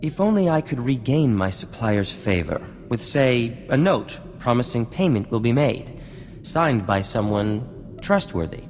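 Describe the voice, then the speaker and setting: An actor playing a vain, charming scientist in a video game, talking in grandiose, courtly phrases. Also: male voice, medium pitch, big pitch swings, measured pace, a little mellow, long reverb tail. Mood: wheedling, courtly. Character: flamboyant, vain, charming male scientist and self-styled genius. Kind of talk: scripted video game acting. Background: music.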